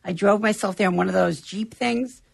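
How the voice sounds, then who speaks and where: A woman chatting as a guest, telling personal stories in a lively, animated way. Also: mid-range voice, brisk, gravelly, slightly rough. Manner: animated storyteller, shares personal anecdotes. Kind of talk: casual chat.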